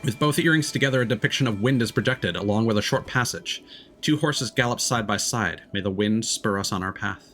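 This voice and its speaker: A man livestreaming a game, reading lore text aloud in a measured, dramatic way. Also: lowish voice, fairly bright tone. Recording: music behind the voice. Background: game music.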